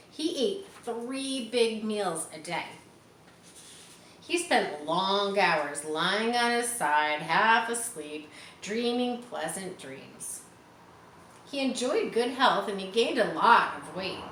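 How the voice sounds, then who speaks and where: A woman reading a children's book aloud in an expressive storytelling style, in a roomy space. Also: medium pitch, slow. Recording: roomy echo with faint background noise.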